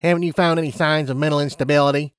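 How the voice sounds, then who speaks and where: A man's voice: a little high, steady tone, very fast, clean tone, dry recording, studio quality, pitched above the performer's own voice, lightly acted. A man voicing a weary, reluctant female personnel director pony in an acted audiobook, curt and exasperated.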